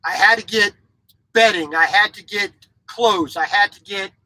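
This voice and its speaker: A man's voice: sits high, normal speed, bright, forceful tone, poor audio. A man in his forties, a truck driver vlogging from his sleeper cab, speaking bluntly in a casual monologue with frequent restarts. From grew up in Japan as a military child. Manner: blunt, repeats words and restarts, opinionated. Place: truck sleeper cab, small indoor space.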